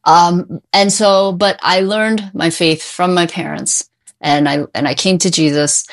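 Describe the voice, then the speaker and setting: Female therapist guest, middle-aged, lowish pitch, insistent and opinionated, stressing points by repetition. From born in Korea, immigrated at age five. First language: English. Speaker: guest, mental health therapist. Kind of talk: opinionated conversational interview answers.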